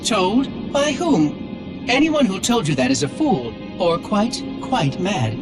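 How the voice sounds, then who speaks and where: An actor playing a chirpy, eccentric robotic monitor with a fussy, precise, politely pompous manner in a video game. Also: male voice, high voice, big pitch swings, takes it slowly, exaggerated voice. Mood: indignant, precise. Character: prim, chirpy, eccentric male robotic monitor of an ancient installation. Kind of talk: video game cinematic acting. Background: loud cinematic music.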